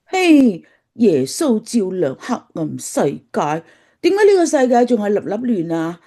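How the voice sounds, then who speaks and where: A woman's voice: medium pitch, sing-song, a little slow, mellow. A woman playing a plain-spoken, skeptical mother in a Cantonese radio drama, with a blunt, complaining manner.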